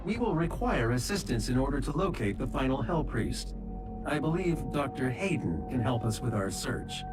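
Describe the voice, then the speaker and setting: An actor playing a calm, factual artificial intelligence guide in a video game, speaking formally and precisely. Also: male voice, mid-range voice, natural voice. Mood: composed, businesslike. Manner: measured, formal, informative. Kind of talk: scripted game mission briefing. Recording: distant, under loud music.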